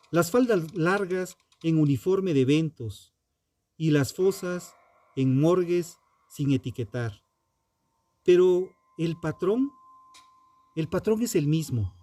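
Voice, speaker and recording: Slow, dark-toned man narrating true crime. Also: fairly high pitch, dry recording. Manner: solemn, dramatic, short measured phrases with pauses. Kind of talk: scripted documentary narration.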